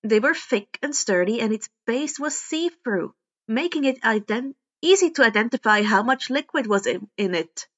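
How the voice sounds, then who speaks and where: A woman's voice: fairly high pitch, lively intonation, normal speed, fairly bright tone. A woman reading scripted story narration as an amateur audio fiction narrator, on a slightly dull recording. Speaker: podfic narrator.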